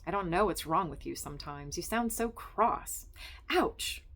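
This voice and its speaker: A woman's voice: lowish voice, animated, unhurried, fairly bright tone, full character voice. A woman reading aloud and voicing a chatty, nosy young married woman who keeps calling her friend honey. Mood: fussing. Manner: gushing, coaxing, calls everyone honey.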